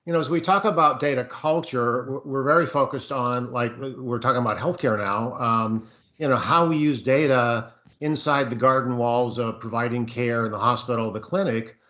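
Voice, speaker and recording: A man's voice: medium pitch, steady tone, moderate pace, pushed and bright, lo-fi sound. Man on a panel, thinking aloud. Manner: expansive, thinking aloud with many fillers. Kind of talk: virtual panel discussion.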